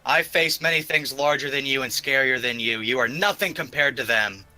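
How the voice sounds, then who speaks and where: Man playing a forceful, boastful axe warrior. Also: medium pitch, normal speed, poor audio. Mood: defiant. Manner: declamatory, commanding. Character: defiant, boastful male axe-wielding fantasy warrior.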